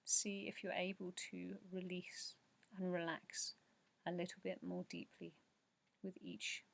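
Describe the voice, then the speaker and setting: A woman leading a guided meditation in a soft, slow, soothing way, with measured pauses and breathy phrase endings. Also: on the low side, little pitch movement. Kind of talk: guided meditation narration, yoga nidra.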